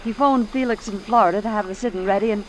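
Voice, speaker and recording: A woman's voice: medium pitch, quick pace, natural voice, rough recording. An American woman acting an anxious, ambitious secretary in an old-time radio suspense drama, with an earnest, melodramatic delivery. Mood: confiding. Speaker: actress playing the secretary. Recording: vintage radio drama, faint background noise.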